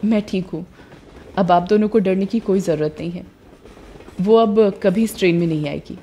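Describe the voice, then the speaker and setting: A woman voicing a composed, polite but firm young woman who hunts witches in an animated story. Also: mid-range voice, normal speed, a little hiss or hum, natural voice.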